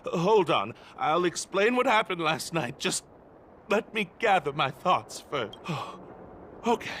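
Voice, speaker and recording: High-pitched, jittery, frightened patrolman. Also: very animated, slow pace, a bit bright, slight background noise, full character voice. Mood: shaky, composing himself. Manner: stammering, breathless, melodramatic. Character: jittery, easily frightened man on night patrol. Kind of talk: video game voice acting. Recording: game music behind the voice. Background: game background music.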